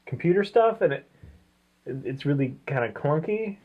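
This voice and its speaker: A man's voice: fairly high pitch, expressive, normal speed. A man co-hosting a comics review show in a casual, conversational style. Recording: dull lo-fi sound.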